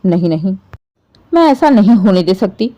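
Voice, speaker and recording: A woman's voice: very animated, normal speed, dark tone, highs cut off, natural voice. A woman voicing a bitter, possessive middle-aged mother-in-law in a dramatic story, scolding and complaining. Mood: anxious, suspicious. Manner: shrill indignant complaining, sarcastic.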